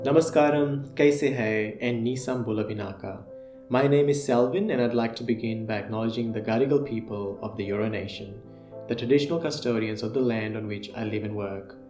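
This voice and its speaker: A man's voice: on the low side, a little fast, roomy sound, music in the background. A man from Fiji earnestly reading a scripted self-introduction as a podcast co-host.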